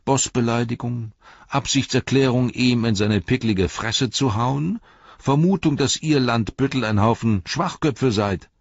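A man's voice: mid-range voice, a little slow, no room sound, lo-fi sound, pitched above the performer's own voice. A man voicing a blunt, plucky young male hobbit who talks in a cheeky, scolding way.

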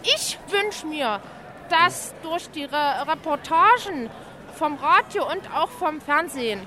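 A woman from Dresden, an interviewed resident, speaking earnestly and haltingly on a noisy street recording. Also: high voice, expressive, sharp tone. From Dresden, Germany.

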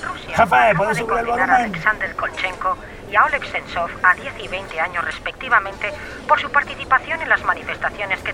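A man's voice: high voice, background noise. A man playing a formal news announcer reading a political bulletin in an audio drama.

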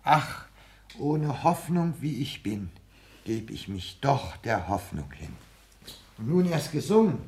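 An actor in a 1960s German radio play, playing a sentimental gentleman caller who declaims verse theatrically. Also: mid-range voice, big pitch swings, slow pace. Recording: roomy, noisy old radio recording.

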